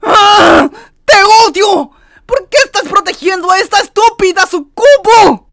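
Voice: very high voice, expressive, measured pace, fairly bright tone, no echo, studio quality, soft background music, heavily acted. An actor voicing a petulant, anguished villainous angel in a fandub, given to indignant whining. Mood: distraught, outraged. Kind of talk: fandub character acting.

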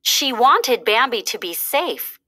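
Slow, bright-voiced woman narrating a storybook. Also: on the high side. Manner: expressive, deliberate storytelling. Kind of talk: read-aloud children's storybook narration.

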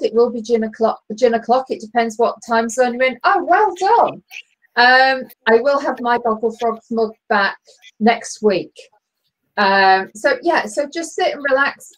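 A middle-aged woman co-hosting an online knitting chat over a video call, chatty and laughing often, with a loud booming voice. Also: expressive, normal speed, rough recording. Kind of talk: casual chat. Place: bedroom at home, knitting. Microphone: laptop webcam on video call.

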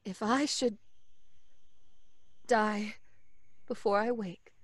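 A haunted, distant woman from an old radio horror play. She speaks extremely slowly in sparse, drawn-out phrases. The voice is fairly high and breathy, recorded close and dry. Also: steady tone, no room sound. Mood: shaken, uncertain. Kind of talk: old-time radio horror drama acting.

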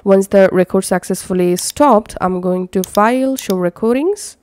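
A woman narrating a step-by-step software tutorial as an instructor. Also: medium pitch, normal speed.

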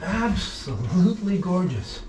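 Middle-aged man, slightly high voice, casual workshop vlog narration, halting as he works, light background noise. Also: very animated. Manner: talks haltingly while working, with pauses. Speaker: vlog host, boat restorer. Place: inside a wooden boat in a shed and at its workbench. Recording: noisy workshop recording with clicks.

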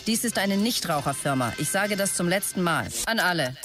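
A woman's voice: fairly low pitch, lively intonation, a little fast, close to an everyday voice. An actress playing a controlling, lovesick female tech-company executive in an audio drama, as if reading out her e-mails. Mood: brisk, commanding. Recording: music behind the voice. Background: music.

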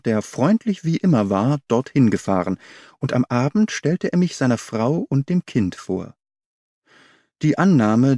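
A man voicing an earnest young governess in an audiobook, telling her account in a measured, articulate way. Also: medium pitch, warm tone, no room sound, clean studio sound. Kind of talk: scripted audiobook character reading.

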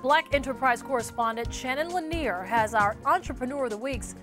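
A woman's voice: high-pitched, brisk. A woman in her thirties hosting a TV business news show, anchoring from a studio in a polished delivery. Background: music.

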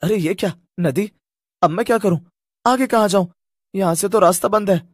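A man's voice: sits high, moderate pace, no room sound, studio quality, pitched above the performer's own voice. A man voicing a cocky, hot-tempered young village boy who talks in a brash, boastful way in a cartoon story. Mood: panicked.

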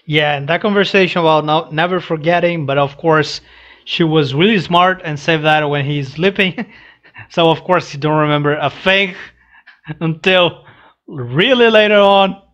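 A young adult man giving casual read-along commentary, halting and restarting his phrases as he goes. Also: fairly high pitch, fairly bright tone, muffled recording. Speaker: reaction video host. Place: small room indoors. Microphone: headset boom mic.

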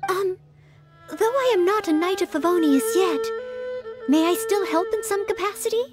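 An actress playing a humble, earnest teenage maid knight-in-training in an anime-style game, polite, hesitant and eager to serve. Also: female voice, very high-pitched, lively intonation, moderate pace, over music. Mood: shy, hopeful.

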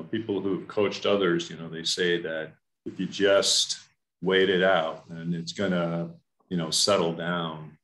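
Deep-voiced man musing in a roomy echo. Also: fairly bright tone. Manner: musing, casual with frequent "you know". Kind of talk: conversational remarks on a video call. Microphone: webcam video call. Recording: roomy echo on call audio.

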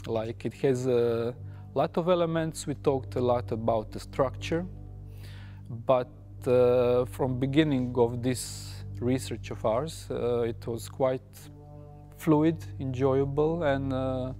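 Halting young man from Croatia. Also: medium pitch, steady tone, mellow, soft background music. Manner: halting, restarts. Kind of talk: casual interview.

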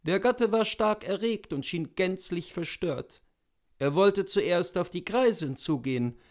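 A man in his thirties reading a literary story expressively, with deliberate dramatic pauses. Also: high voice, lively intonation. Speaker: literary reader, narrator. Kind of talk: expressive literary audiobook narration. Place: indoors in front of bookshelves.

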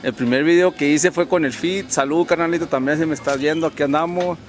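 A man's voice: fairly high pitch, a little fast, a little hiss or hum. A man in his thirties from northern Mexico, a rapper talking casually and slangily into a handheld mic outdoors, often tagging "¿verdad?". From Ojinaga, Mexico. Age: 30s. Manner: laid-back, slangy, keeps adding "¿verdad?". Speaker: rapper guest. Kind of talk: casual interview talk. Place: outdoors on a park bench by a street.

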